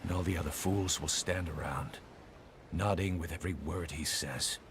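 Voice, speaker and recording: An actor playing a bitter, vengeful soldier in a video game, speaking with emphatic scorn. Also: male voice, deep voice, steady tone, moderate pace, fairly bright tone, slight background noise. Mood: bitter, scornful. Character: bitter, vengeful male soldier, angry second-in-command. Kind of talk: dramatic video game voice acting.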